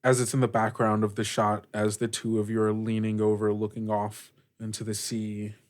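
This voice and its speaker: A man's voice: on the low side, completely flat delivery, moderate pace. A man working as game master, improvising scene narration for a tabletop game in a conversational, hesitant way.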